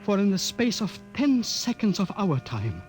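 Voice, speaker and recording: An actor in a 1950s radio drama playing an aged scientist, explaining slowly and heavily. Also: male voice, high voice, huge pitch swings, darkish tone, soft background music. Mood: grave. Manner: slow, heavy, explanatory. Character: aged male scientist, grieving and awed. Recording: old radio broadcast with faint hum.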